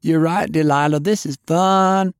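A man's voice: fairly high pitch, fairly even intonation, unhurried, smooth, no room sound, pitched above the performer's own voice. A skinny, spirited country girl, acted out by a man, taunts in a folksy, cheeky way. The voice is lifted well above the narrator's own, recorded very dry for an audiobook. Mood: gleeful taunting.

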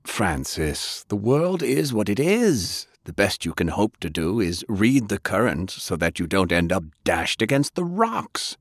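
A man's voice: on the low side, very animated, a little slow, hardly any pauses, dry recording, clean studio sound, exaggerated voice. An actor playing a proud, domineering wealthy old grandfather in an audiobook, commanding and blustering. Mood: lecturing.

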